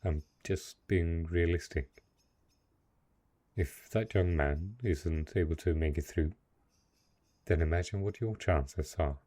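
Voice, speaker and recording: A man playing a brooding, possessive and lonely dark knight in a fantasy roleplay audio drama, speaking in a measured, deliberate way. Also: deep voice. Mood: cold, dismissive. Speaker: voice actor.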